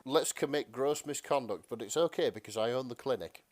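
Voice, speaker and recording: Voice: mid-range voice, brisk. A podcast co-host in casual comedic chat, throwing in playful, jokey interjections.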